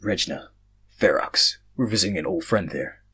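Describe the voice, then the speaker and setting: A man's voice: low voice, animated, moderate pace, bright, forceful tone, slight background noise, pitched below the performer's own voice. A man voicing a friendly, easygoing male fantasy royal in a story, casual and lightly amused. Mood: casual, joking.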